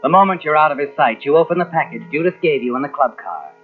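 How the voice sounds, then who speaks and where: An actor playing a knowing, insinuating narrator in a 1940s radio suspense drama, speaking dramatically as if taunting the guilty hero. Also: male voice, fairly high pitch, fast talker, loud music behind the voice, natural voice. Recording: tinny old radio recording from cassette.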